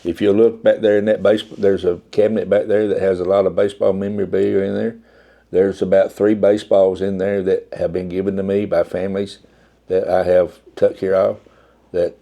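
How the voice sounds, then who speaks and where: An older man who works as an undertaker, from Appalachia, speaking in a soft, halting way with many restarts in a reflective interview. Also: deep voice.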